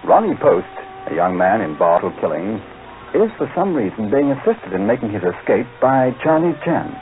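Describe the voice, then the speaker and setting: A man's voice: on the low side, big pitch swings, normal speed. A man as the announcer of a 1940s radio serial, reading scripted narration in an emphatic, theatrical way. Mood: dramatic. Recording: noisy, tinny old radio recording.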